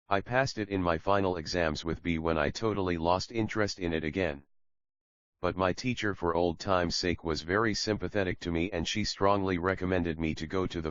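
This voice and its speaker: Deep-voiced Russian man reading his memoir. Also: fairly bright tone, dry recording, dull audio. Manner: plain, unhurried reading with non-native phrasing. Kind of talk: audiobook memoir narration.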